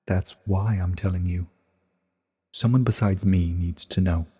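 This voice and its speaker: A man voicing an ancient, regretful pony princess in a dramatic story reading, grave and measured, with a soft husky voice. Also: deep voice, steady tone, big-room sound. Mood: solemn, resigned.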